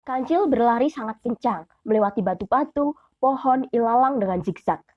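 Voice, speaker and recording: Young woman, children's story narration, fairly high pitch, slightly husky, dry muffled recording. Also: animated, moderate pace, no room sound. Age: young adult. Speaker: storyteller, student. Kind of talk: scripted children's fable narration.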